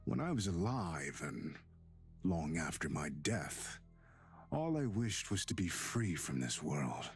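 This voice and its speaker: A man voicing a bitter, mocking centuries-old demon in a young man's body, with theatrical drawn-out delivery, for a visual novel game. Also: low-pitched, very animated, heavily acted. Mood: bitter, brooding. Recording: music behind the voice.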